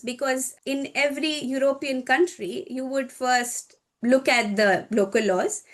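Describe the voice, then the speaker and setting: A woman from India, answering interview questions in a measured, explanatory way; her is Hindi. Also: a little high. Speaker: guest, corporate lawyer.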